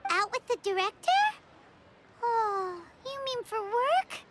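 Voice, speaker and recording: A woman voicing a tiny, excitable, childlike fairy sidekick in a video game, squeaky and exaggerated in manner. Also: takes it slowly, heavily acted. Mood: curious, pondering. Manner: exaggerated, refers to self in third person. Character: Paimon, tiny excitable childlike floating fairy companion, female. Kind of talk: video game dialogue acting.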